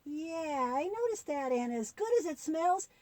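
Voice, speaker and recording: An eager young boy puppet, voiced by a woman, speaks high and very animatedly. He is curious and easily amazed, questioning everything in a children's puppet show, with a dry, close sound. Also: lively intonation, measured pace, darkish tone, no room sound. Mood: curious amazement. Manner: wide-eyed, questioning, exclamatory.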